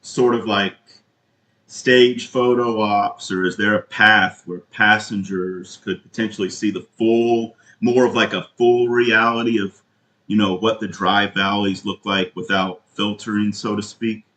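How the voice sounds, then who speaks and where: A man calling as a customer, asking questions in a halting, earnest and insistent way. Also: fairly low pitch, steady tone, fairly bright tone. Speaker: caller, prospective customer. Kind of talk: phone inquiry, questioning. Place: indoor room, phone call. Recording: roomy echo on a recorded call.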